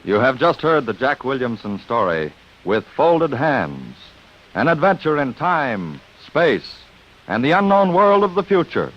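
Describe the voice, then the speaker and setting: American guy hosting old radio sci-fi, deep-ish, theatrical pauses, music under him, dull vintage sound. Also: very animated. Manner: portentous, theatrical, deliberate pauses. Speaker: radio show host and narrator. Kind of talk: dramatic 1950s radio narration.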